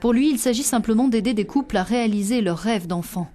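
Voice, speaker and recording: A woman narrating a television news report in a measured, scripted voice-over. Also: on the high side, warm tone. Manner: measured, clear news delivery.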